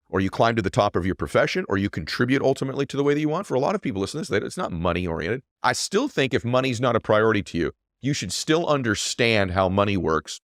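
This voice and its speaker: A middle-aged man with a deep voice, hosting a show and giving an emphatic motivational monologue that often asks "right?". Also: fairly bright tone, no echo, studio quality. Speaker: podcast host.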